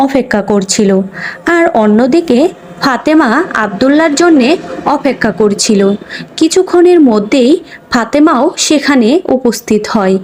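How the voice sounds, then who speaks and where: High-pitched woman storyteller. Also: darkish tone. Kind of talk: scripted narration of a children's cartoon story.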